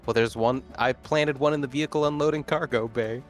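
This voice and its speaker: A man with an ordinary mid-pitched voice takes part in a tabletop game as a player. He talks in a casual, conversational way, with faint music behind him. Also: steady tone, fairly quick. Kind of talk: casual chat during a game.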